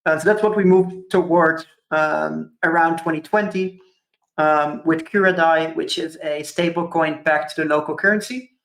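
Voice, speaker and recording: A man's voice: fairly high pitch, fairly even intonation, moderate pace, creaky, a bit bright. Young man from Curaçao presenting to a conference, thoughtful and filler-heavy, echoey room. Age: 20s. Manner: thoughtful, many ums and kind-ofs. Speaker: conference speaker. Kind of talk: presentation talk. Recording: roomy echo.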